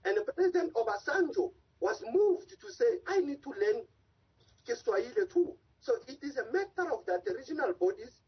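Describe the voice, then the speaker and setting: A man's voice: very high voice, animated, normal speed. A man, an Africa analyst and advocate, making impassioned, long-winded points as a guest in a remote news interview over a video call. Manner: impassioned, argumentative, speaks in long run-on points. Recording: dull lo-fi call audio.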